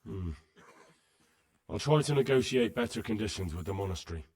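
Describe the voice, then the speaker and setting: An actor playing an earnest, polite young blacksmith who is eager to help, somewhat tentative, in a video game drama. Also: male voice, fairly low pitch, animated, a little fast, a little mellow. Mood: concerned, helpful.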